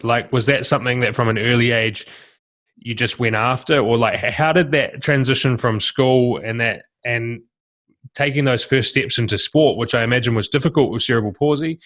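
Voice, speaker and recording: A man's voice: mid-range voice, fairly bright tone. A man asking questions as an interviewer in a casual, conversational style. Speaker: interviewer, podcast host.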